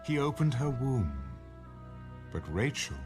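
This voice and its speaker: A man's voice: mid-range voice, sing-song, a little slow. A man narrating scripture from a script as the reader of a dramatized audio Bible. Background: background music.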